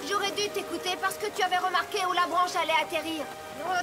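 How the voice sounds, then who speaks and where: A woman voicing a blunt, hardworking young farm pony mare in a French cartoon dub, bossy and full of country sayings. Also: very high voice. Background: loud cartoon music score.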